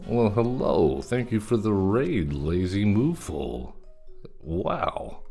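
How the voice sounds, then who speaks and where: A man's voice: fairly low pitch, sing-song, slow pace, vocal fry, warm tone, soft background music. A man streaming a card game and chatting easily with viewers as he plays. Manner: easygoing, chatty, thanks viewers. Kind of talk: casual gameplay commentary.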